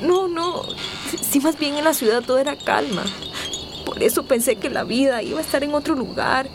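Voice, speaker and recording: Anguished, high-voiced migrant woman. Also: normal speed, noisy background. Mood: sorrowful. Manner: pleading, emotional. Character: anguished, devout migrant woman, remorseful and grateful. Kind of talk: radio soap opera acting.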